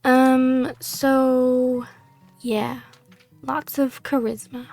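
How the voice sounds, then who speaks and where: Slow, gravelly woman gaming host. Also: sits high, little pitch movement, a little mellow, no echo, faint music behind. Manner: hesitant, filler-heavy, self-correcting. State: losing her voice. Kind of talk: casual game playthrough commentary.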